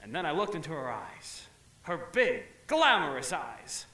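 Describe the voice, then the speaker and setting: Stage musical, actor as a goofy lovesick groom, slow and mid-pitched, far off in an echoey hall. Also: male voice, sing-song, bright, forceful tone, heavily acted. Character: giddy blindfolded young groom.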